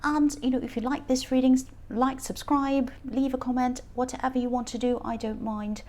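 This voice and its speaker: A woman with a croaky voice giving a casual, rambling tarot reading, often laughing as she talks. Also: sits high, steady tone, normal speed, mellow. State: croaky voice from stress. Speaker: tarot reader.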